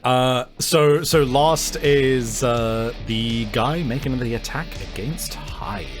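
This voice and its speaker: A man's voice: medium pitch, slow pace, over music. A man narrating a tabletop game as game master, casually improvising vivid descriptions and swearing now and then.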